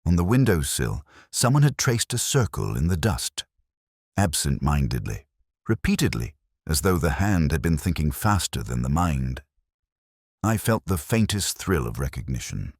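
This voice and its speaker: Very deep-voiced man narrating a mystery. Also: very animated, moderate pace, a little mellow, slightly rough, no room sound, clean studio sound. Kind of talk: first-person scripted detective story narration.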